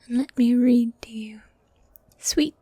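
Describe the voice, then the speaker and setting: A woman voicing a needy, dominant goth demon girlfriend in a soft, breathy, coaxing whisper, in a medieval fantasy ASMR roleplay. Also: on the high side, no room sound. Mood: coaxing, tender. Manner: affectionate, coaxing, drawn-out genteel phrasing.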